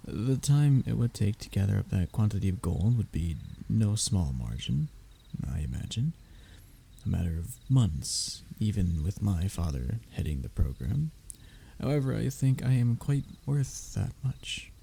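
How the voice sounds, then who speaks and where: A man's voice: on the low side, sing-song, unhurried, creaky, warm tone, a little hiss or hum, full character voice. A man playing a young royal heir in a roleplay audio drama, formal and pompous in his wording but often faltering into nervous stammers as he addresses the listener. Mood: persuasive.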